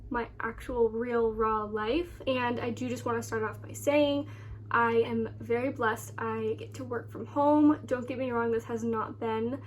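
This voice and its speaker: A woman's voice: fairly high pitch, steady tone, measured pace, slight background noise. An American woman in her early twenties chatting casually to viewers as a vlogger, rambling along with a slightly congested, nasal voice. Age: early 20s. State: congested with sore throat. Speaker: lifestyle vlogger.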